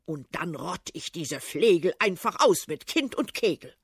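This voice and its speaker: Woman putting on a vain old tsar, pompous, threatening, husky, dry. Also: low-pitched, sing-song, slow pace, no echo, voice pushed down, heavily acted. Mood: blustering.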